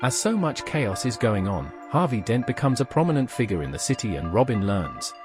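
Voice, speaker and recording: A man narrates a scripted pop culture explainer as its host. His voice sits at a medium pitch and moves with animated intonation. Faint music plays behind him. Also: a little fast.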